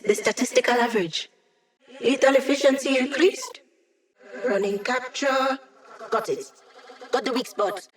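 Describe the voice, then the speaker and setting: A woman's voice: sits high, a little slow, pauses often. A woman voicing a wry, upbeat young hacker who lives as a digital mind, in a casual, teasing video game performance. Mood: wry, focused. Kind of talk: video game character voice lines.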